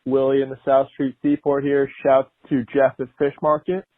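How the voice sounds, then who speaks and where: A man's voice: medium pitch, monotone, normal speed, no echo, narrow, tinny audio. A man calling in with a casual voicemail message, recorded over the phone. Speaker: voicemail caller.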